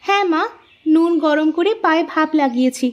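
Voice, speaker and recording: A woman's voice: high voice, a little fast, highs cut off, natural voice. A woman voicing a young, put-upon daughter-in-law in a cartoon family drama, plaintive and earnest. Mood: weary, dutiful.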